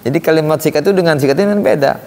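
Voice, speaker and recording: A man's voice: a little high, racing, darkish tone. A man teaching an informal religious lesson, explaining conversationally and often checking with rhetorical questions. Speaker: Quran recitation teacher. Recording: reverberant hall with obvious background noise.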